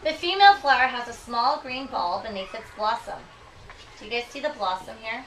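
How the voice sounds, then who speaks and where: Bright-voiced woman teacher, recorded from afar. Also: on the high side. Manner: expressive, deliberate reading, checking understanding with questions. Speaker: elementary school teacher. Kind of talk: read-aloud lesson with questions to children. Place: school classroom. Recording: noisy classroom, distant microphone.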